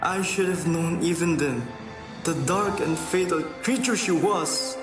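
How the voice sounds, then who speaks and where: A distant, echoing radio drama grandfather, acted by a man, with music softly underneath. He is old, playful and mock-stern, fond of theatrical teasing and wistful tales. His voice sits on the high side. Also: a little slow, lightly acted. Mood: wistful, enchanted.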